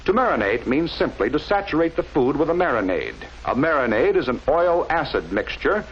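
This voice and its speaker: Higher-pitched 1940s instructional film man, dull soundtrack. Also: sing-song, slightly rough. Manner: measured, didactic, enunciated. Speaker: training film narrator.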